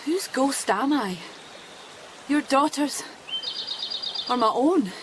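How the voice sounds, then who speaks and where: An actress playing an orphaned alien in the shape of a dead daughter, speaking in earnest, formal, questioning phrases in a radio drama. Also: female voice, sits high, animated, slow pace, background noise. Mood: subdued, searching.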